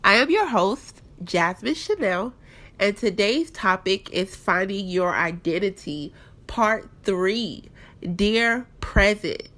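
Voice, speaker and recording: A woman's voice: mid-range voice, animated, slow, fairly bright tone. A young woman hosting a casual faith podcast from her apartment, a bit stuffed up, talking candidly and straight to her listeners. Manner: chatty, candid, addresses listeners directly. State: stuffed-up nose. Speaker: podcast host.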